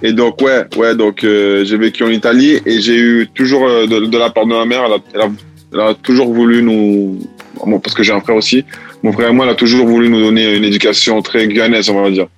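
Man, lowish voice, grew up in Italy, halting speech, lots of fillers, faint music behind. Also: little pitch movement. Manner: halting, many restarts and fillers. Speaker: rapper guest. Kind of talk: casual interview chat.

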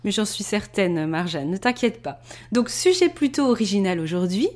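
A woman hosting a podcast, upbeat and eager as she introduces the topic and asks her questions. Her voice has an ordinary middle pitch. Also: normal speed. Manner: lively, enthusiastic. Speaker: podcast host.

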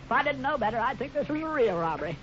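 An actor playing a gullible, good-natured, talkative Western sidekick with a folksy American drawl in a 1950s radio serial. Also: male voice, very high-pitched, very animated, racing, fairly bright tone, heavily acted. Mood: naive, pleased. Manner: folksy drawl, naive, rambling. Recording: muffled, noisy old radio recording.

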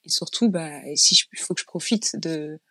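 A woman's voice: low voice, normal speed, sharp tone, no room sound. A woman from France, a guest in a casual interview, telling her story frankly in a chatty way with frequent restarts. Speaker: guest, business owner.

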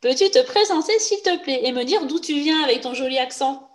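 Woman interviewer, fairly high voice. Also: animated, brisk. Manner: friendly, brief questions.

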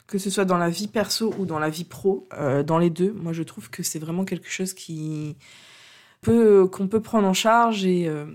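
A woman's voice: on the low side, a little slow, a little mellow. A French-speaking woman hosting a coaching podcast while she has a cold, talking unscripted in an earnest, hesitant way. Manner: hesitant, many fillers and restarts, earnest. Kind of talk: unscripted solo podcast talk.

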